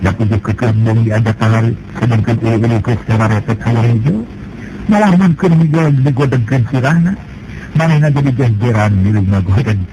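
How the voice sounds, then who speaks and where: Man, folk tale storyteller, lively character voices, noisy recording, vehicle noise behind. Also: medium pitch, moderate pace, lo-fi sound.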